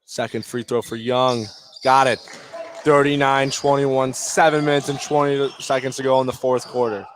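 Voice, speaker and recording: A man's voice: medium pitch, little pitch movement, normal speed, a little hiss or hum. A man calling live basketball play-by-play for radio in short, clipped phrases. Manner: clipped, fragmentary calls of the action. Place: indoor high school gym, courtside.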